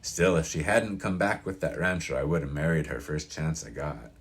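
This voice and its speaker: A man's voice: extremely low pitch, normal speed. A man voicing an opinionated elderly small-town local in an audiobook, with a grating, folksy, emphatic delivery. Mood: wistful, chatty. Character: crusty old mountain-town man, proud, opinionated, wistful. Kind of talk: audiobook character reading.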